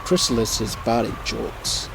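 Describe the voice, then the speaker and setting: A man reading scripted story narration in a measured, descriptive manner. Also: mid-range voice, expressive, creaky, background noise.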